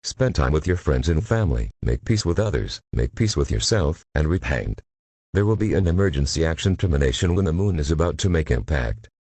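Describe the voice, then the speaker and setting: A man with an extremely low, slightly rough voice delivers a scripted emergency alert. He is solemn and formal, measured and impersonal throughout. The sound is dry and close. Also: very animated, darkish tone, no room sound.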